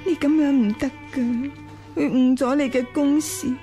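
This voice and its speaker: An actress playing a frail, gravely ill concubine in a radio drama, speaking plaintively and with resignation. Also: female voice, sits high, steady tone, moderate pace, dark tone, faint music behind. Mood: weary, concerned. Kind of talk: Cantonese radio drama acting.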